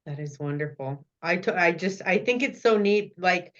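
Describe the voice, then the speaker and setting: A woman hosts a casual podcast conversation, answering her guest with encouragement and easy laughter. Her voice sits fairly low for a woman. The sound is slightly dull and lo-fi, as if the top end has been cut. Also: lively intonation, moderate pace. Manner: warm encouraging listener, laughs readily. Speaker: podcast host.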